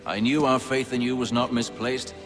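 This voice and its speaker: An actor playing a composed, courteous senior leader of a secret order in a video game, speaking formally and with measure. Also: male voice, mid-range voice, level tone, moderate pace, over music, natural voice. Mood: measured, formal. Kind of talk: video game cutscene acting.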